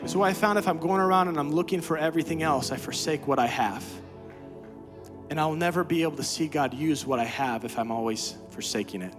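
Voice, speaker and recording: A man preaching a sermon as pastor, swinging from quiet, low talk to loud, high-pitched exhortation. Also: fairly quick, music in the background. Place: church sanctuary.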